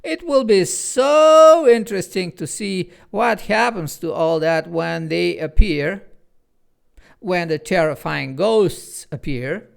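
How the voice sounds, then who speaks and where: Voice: medium pitch, lively intonation, takes it slowly, gravelly, full character voice. A reader doing a pompous theatrical clock spirit with a lisp. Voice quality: affected, theatrical, lisping.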